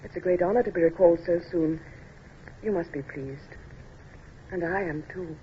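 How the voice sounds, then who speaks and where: A woman acting a genteel, devoted English lady in an old-time radio drama, gracious and gently teasing. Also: lowish voice, moderate pace, natural voice. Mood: knowing, brave. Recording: muffled, hissy old radio recording.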